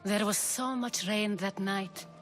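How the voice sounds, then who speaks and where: An actress voicing a reflective woman recalling the past in a video game, calm and reminiscing. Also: medium pitch, slow, soft background music, natural voice. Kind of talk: video game cutscene acting.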